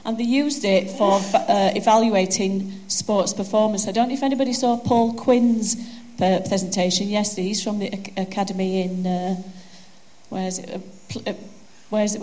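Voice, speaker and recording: A woman's voice: mid-range voice, darkish tone, poor audio. A woman with a broad Yorkshire accent giving a chatty, joking conference presentation on a handheld microphone. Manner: chatty, self-deprecating, joking.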